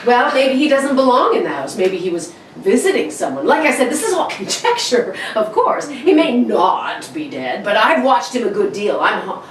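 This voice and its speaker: A woman's voice: a little high, sing-song, moderate pace, few pauses. A woman playing an earnest, insistent male stage actor with a hesitant, articulate manner in an old-time radio play. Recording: echoey room, distant mic, faint noise.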